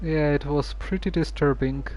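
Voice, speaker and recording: A man streaming a game, commenting casually in a halting way and stumbling over words. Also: fairly high pitch, steady tone, a little mellow, a little hiss or hum, low-quality recording.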